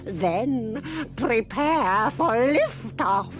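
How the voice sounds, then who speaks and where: A woman's voice: fairly high pitch, lively intonation, drawn-out words. A woman voicing a villainous old female android tyrant in a puppet sci-fi series, haughty, theatrical and menacing. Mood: imperious. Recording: music behind the dialogue.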